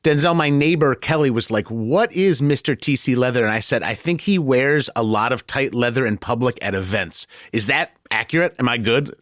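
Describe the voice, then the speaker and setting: A man's voice: medium pitch, normal speed, few pauses, a bit bright, dry recording. American man hosting in a dry studio sound. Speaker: podcast host. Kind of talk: conversational news podcast hosting.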